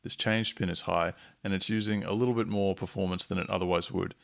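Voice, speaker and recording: A man narrating a software tutorial in a measured, instructional way. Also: low voice, steady tone, gravelly. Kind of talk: explanatory screencast tutorial narration.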